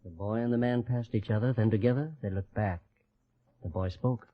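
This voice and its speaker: An actor narrating an old-time radio drama as a solemn, lyrical storyteller. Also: male voice, on the low side, moderate pace, close to an everyday voice. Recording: slightly muffled old radio recording.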